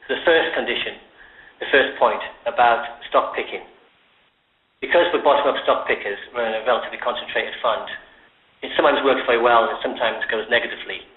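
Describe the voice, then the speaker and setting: A man working as a fund manager gives a measured, formal, explanatory performance update on a conference call. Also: mid-range voice. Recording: roomy, tinny phone conference line.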